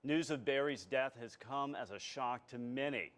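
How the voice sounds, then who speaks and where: A man's voice: medium pitch, normal speed, a bit bright. A man working as a TV news anchor and reporter, delivering scripted news narration.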